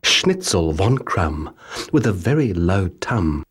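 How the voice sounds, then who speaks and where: A man reading children's rhyming stories as an audiobook narrator, with measured, rhythmic, theatrical delivery. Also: low-pitched, very animated, loud music behind the voice. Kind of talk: scripted children's storybook narration in rhyming verse.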